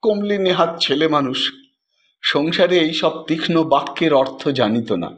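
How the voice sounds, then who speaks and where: Slow, high-voiced male story narrator. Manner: measured, expressive, formal literary diction. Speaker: audiobook narrator. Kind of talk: scripted literary story narration, dramatic.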